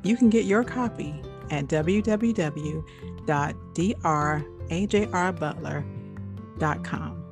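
A woman's voice: low voice, takes it slowly, gravelly, over music. A woman announcer reading an upbeat book promo.

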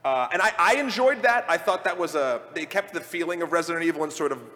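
A man speaking informally and emphatically as a panelist in an animated live discussion about games and films. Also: a little high, quick pace. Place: large indoor convention panel room. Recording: echoey large hall, live panel sound.

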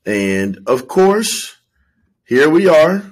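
A man hosting a casual sports discussion, speaking in a measured way with wry teasing. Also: fairly high pitch, big pitch swings. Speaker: sports podcast host.

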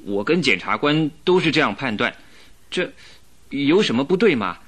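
A man's voice: mid-range voice, very animated, normal speed, a bit bright, husky. A man acting an earnest police inspector in a radio drama, explaining politely with some hesitation.

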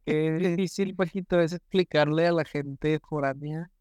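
Casting: young Monterrey man, podcast co-host, slightly high voice, short joking asides, dry close mic. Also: steady tone, unhurried, a little mellow, smooth, no room sound. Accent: northern Mexican (Monterrey). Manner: short interjections, joking slang. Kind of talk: casual chat.

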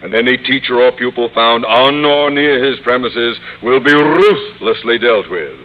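An actor playing a pompous, irascible middle-aged school principal in an old-time radio comedy, with formal, blustering delivery. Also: male voice, medium pitch, a little slow, narrow, tinny audio, lightly acted. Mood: stern, pompous. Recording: noisy old radio recording, studio audience.

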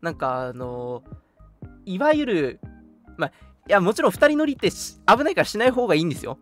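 Young man, casual livestream host, very lively intonation, playful retorts, soft background music. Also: sits high, brisk. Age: young adult. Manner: playful, mock-indignant retorts to teasing. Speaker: radio show host. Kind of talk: casual chat on a livestream radio show.

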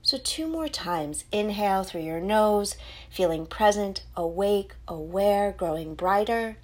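A woman leading a guided meditation as host, giving soothing instructions in short phrases with pauses between them. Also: medium pitch, animated.

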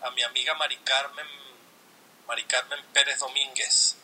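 A man's voice: mid-range voice, level tone, moderate pace, husky, rough recording. A man from Venezuela joining an online chat, speaking in an affectionate way. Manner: affectionate, warm greetings. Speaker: guest.

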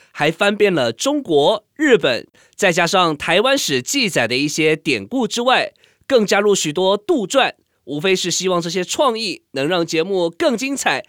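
A high-voiced man narrates radio drama in Taiwanese Mandarin. His delivery is theatrical and very animated, shaped for storytelling. Music plays clearly behind him. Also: no room sound, studio quality.

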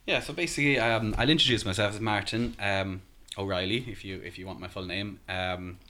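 This is a man in his thirties with an Irish accent, talking casually as a podcast guest. His voice sits very low. He hesitates and restarts often, filling gaps with ums. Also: steady tone, fairly quick, bright, forceful tone. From Ireland.

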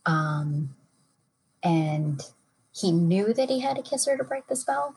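A woman's voice: lowish voice, expressive, slow, creaky, a little mellow, breathy edge, rough recording. A woman chatting casually as a guest, a little hesitant as she thinks aloud. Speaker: podcast guest, a parent. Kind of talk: casual chat.

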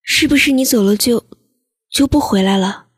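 A woman playing a shy, lovelorn high-school girl in an audio drama, tentative and plaintive. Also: moderate pace, husky. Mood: pleading.

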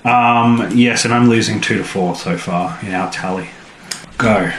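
A man's voice: on the low side, measured pace, a bit bright. A man co-hosting a podcast, asking curious questions and joking in casual conversation. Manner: quizzical, asks lots of questions, joking. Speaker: podcast co-host. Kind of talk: casual chat. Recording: roomy sound with faint background noise.

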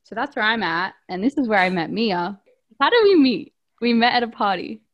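A woman's voice: fairly high pitch, big pitch swings, moderate pace. A woman, co-hosting a podcast over a phone call, upbeat and laughy, full of "like". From Australia. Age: 20s. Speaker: podcast co-host. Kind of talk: casual chat.

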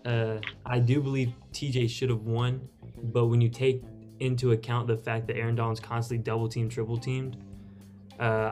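A man's voice: mid-range voice, darkish tone. Man giving casual sports analysis, faint music. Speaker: sports podcast panelist.

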